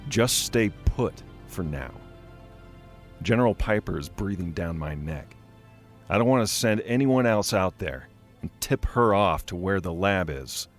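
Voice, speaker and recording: Exasperated executive in a radio play, played by a man, lowish voice, commanding, faint music bed. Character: harried, exasperated corporate boss giving orders. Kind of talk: audio drama acting.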